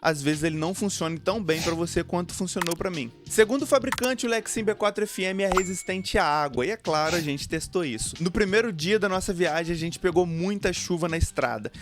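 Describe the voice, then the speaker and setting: High-voiced Brazilian man reviewing gear. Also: fairly quick, hardly any pauses. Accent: Brazilian Portuguese. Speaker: motorcycle gear review video host. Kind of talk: product review narration to camera or voice-over. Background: background music.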